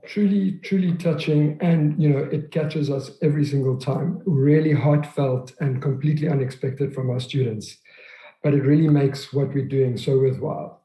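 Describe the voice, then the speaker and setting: A man's voice: on the high side, fairly even intonation, moderate pace, warm tone. A man presenting at a conference, introducing partners in an earnest, prepared way with occasional fillers. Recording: roomy echo.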